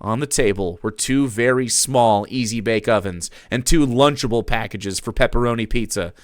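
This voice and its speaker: A man's voice: mid-range voice, moderate pace, no echo. A young man streaming, giving a theatrical reading of fan fiction and breaking off to comment, on a close desk mic.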